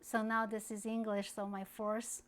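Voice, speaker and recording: A woman from China, speaking English as a later language, answering interview questions in a halting way with many restarts. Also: mid-range voice, completely flat delivery. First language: Kazakh. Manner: halting, searching for words, frequent restarts. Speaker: guest activist.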